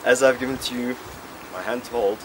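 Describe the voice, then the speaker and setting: A man's voice: mid-range voice, fairly even intonation, a little fast. A young man reading his wedding vows at an outdoor ceremony, earnest with a light joking touch. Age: young adult. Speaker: groom. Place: outdoor wedding under trees. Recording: loud outdoor noise.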